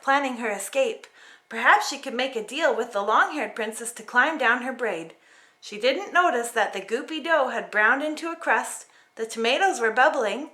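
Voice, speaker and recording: A woman narrating a children's story in an expressive read-aloud style. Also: on the high side. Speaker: storybook reader.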